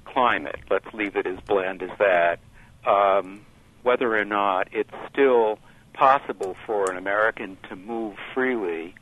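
A middle-aged or older man calling a radio show by telephone, wording things formally and carefully, with hesitations. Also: fairly low pitch. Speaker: phone-in caller. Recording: thin telephone line on radio.

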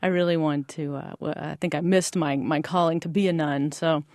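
A woman's voice: deep voice, steady tone, a little fast, darkish tone, no echo, studio quality. An American woman from Georgia, a college teacher, answering interview questions informally and thinking aloud as she goes. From Georgia, United States.

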